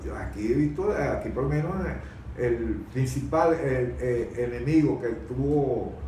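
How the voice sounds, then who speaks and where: Halting man in a very echoey room. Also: mid-range voice, dark tone. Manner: halting, repeats words while searching for phrasing. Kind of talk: conversational political-historical debate. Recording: very echoey room, distant mic, faint noise.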